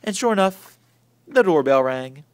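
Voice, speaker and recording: A man reading a children's story aloud in an expressive, theatrical way that swings from hushed to booming. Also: a little slow. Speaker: storybook reader.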